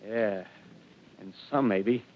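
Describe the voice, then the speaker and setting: A man's voice: lowish voice, slow. An actor playing an embittered, fanatical artilleryman in a 1930s radio drama, with an American accent and colloquial, insistent, clipped speech. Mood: bitter, insistent. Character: fanatical, embittered artilleryman scheming to rule after invasion. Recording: muffled vintage 1930s radio broadcast.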